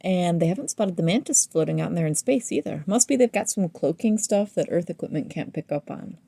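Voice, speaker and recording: Woman playing a mellow, low-voiced crewmate. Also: animated, fairly quick, vocal fry, husky, no echo, full character voice. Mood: easygoing. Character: easygoing, lovestruck young female space crew member. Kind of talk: audio comedy drama dialogue.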